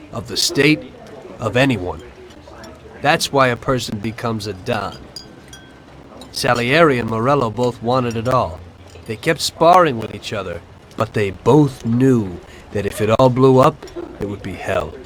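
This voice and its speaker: A man's voice: medium pitch, measured pace, a little hiss or hum, close to an everyday voice, poor audio. An actor playing a world-weary gangster in a video game, speaking of his life of crime in a reflective, matter-of-fact way. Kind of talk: video game cutscene storytelling.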